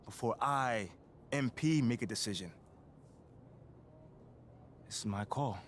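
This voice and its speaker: A man's voice: medium pitch, slow, slight background noise, natural voice. A man playing a self-assured young pro basketball player in a video game, speaking casually and firmly. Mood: resolute. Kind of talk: video game cutscene acting, casual conversation.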